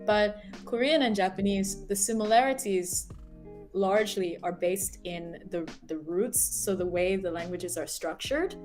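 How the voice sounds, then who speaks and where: A woman co-host explains things in an animated, casual way with plenty of ums. Her voice sits at a middle pitch, and faint music plays behind her. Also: a little slow. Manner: animated, explains with lots of fillers. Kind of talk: casual chat.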